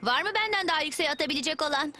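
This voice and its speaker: A woman's voice: sits high, animated, racing. A woman voicing a confident, competitive, teasing young girl in a children's cartoon. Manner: cocky, teasing. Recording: loud music over the voice.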